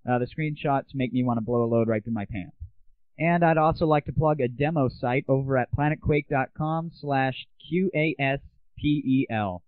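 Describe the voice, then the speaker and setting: A man's voice: medium pitch, normal speed, no echo. A man hosting a show in a casual monologue, brash, irreverent and crude in his manner. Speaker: host. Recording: dry, tinny lo-fi sound.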